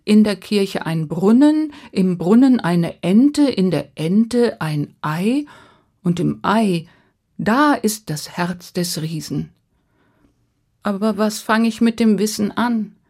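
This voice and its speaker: A woman's voice: medium pitch, lively intonation, slow pace, darkish tone. A woman voicing a young male prince who sounds earnest and helpless, his voice raised and pleading.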